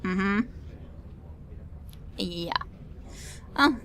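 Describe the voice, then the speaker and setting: A woman's voice: medium pitch, animated, extremely slow, sharp tone. A woman giving casual game commentary, musing aloud hesitantly and repeating her words. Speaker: let's play commentator.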